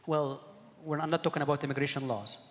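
A middle-aged man from Egypt preaching a sermon, earnest and halting, often repeating words as he searches for the next one. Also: on the high side, big-room sound, background noise. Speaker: pastor.

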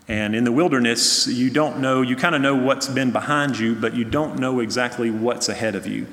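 A man's voice: mid-range voice, fairly even intonation, a bit bright. Earnest male pastor in echoing church. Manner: earnest, measured, with frequent "uh" fillers. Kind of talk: sermon with pastoral prayer concerns. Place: church sanctuary. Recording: long church reverb.

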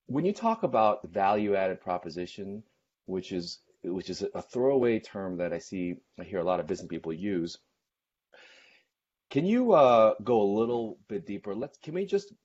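A man co-hosting a podcast, putting questions to a guest conversationally and rephrasing haltingly as he goes. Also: lowish voice, big pitch swings, darkish tone, highs cut off. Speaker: podcast co-host interviewing a guest.

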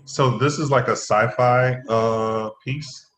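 A man's voice: mid-range voice, steady tone, slow pace, a bit bright, slight background noise. A man, an actor, chatting casually and asking questions about a play. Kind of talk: casual chat. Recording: roomy echo.

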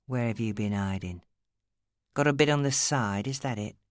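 A woman's voice: very deep voice, normal speed, dry recording. A menacing club boss, acted by a woman reading an audiobook. He sneers with smug contempt and hisses when crossed. The recording is close and dry. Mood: quiet menace. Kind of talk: audiobook character acting.

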